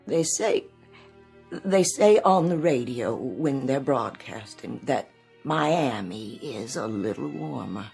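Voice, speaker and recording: An actress playing a kindly, anxious woman in a radio drama, speaking haltingly and repeating her phrases. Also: extremely low pitch, animated, creaky, darkish tone, breathy edge, faint music behind, full character voice. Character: kindly, anxious woman tending a sick younger neighbour.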